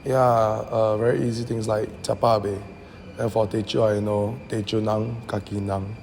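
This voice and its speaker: A young man from Singapore answers in a relaxed, easygoing way. His voice is gravelly and mellow, with a faint hum of ambience behind. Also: fairly low pitch, normal speed. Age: young adult. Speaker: design student interviewee. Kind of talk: casual interview answers.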